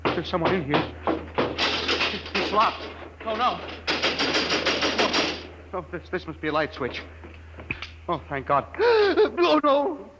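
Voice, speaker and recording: A man's voice: high voice, sing-song, takes it slowly, pauses often, very noisy, heavily acted. An actor playing a terrified, trapped middle-aged man in a 1950s radio drama, stammering and gasping as he pleads. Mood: panicked. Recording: vintage 1950 radio, dramatic music behind.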